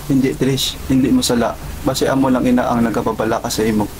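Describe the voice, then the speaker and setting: A man's voice: mid-range voice, level tone, fast, a little mellow, a little hiss or hum, lightly acted, low-quality recording. A man acting a comforting, concerned young man with a Filipino accent in an amateur student radio drama. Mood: gentle, concerned.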